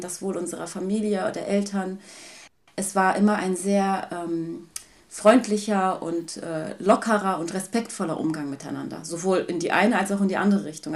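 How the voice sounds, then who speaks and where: A woman from Germany, a guest in an interview, talking about her life in a thoughtful, hesitant way with many pauses. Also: medium pitch, darkish tone. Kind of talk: personal conversation.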